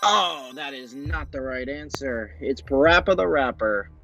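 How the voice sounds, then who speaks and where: A man hosting an amateur quiz show, upbeat and brisk as he announces questions. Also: mid-range voice, lively intonation, vocal fry, a bit bright, faint music behind.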